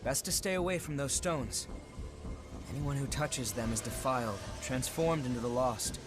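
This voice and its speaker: A man's voice: medium pitch, moderate pace, natural voice. An actor playing a grave, protective male revenant warrior in a video game, solemn and commanding. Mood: grave warning. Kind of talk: dramatic video game cutscene voice acting. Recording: music behind the voice.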